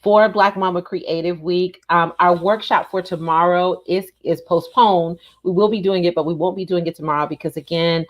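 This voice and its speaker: An American woman hosts a relaxed chat in a fairly low voice. She speaks in a halting way, repeating phrases as she finds her words. Her style is informal and conversational. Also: muffled recording. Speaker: podcast host. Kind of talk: casual hosting chat.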